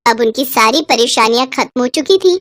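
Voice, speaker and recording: A woman narrating a children's moral cartoon story in a steady, clear way. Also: a little high, moderate pace, no room sound, clean studio sound.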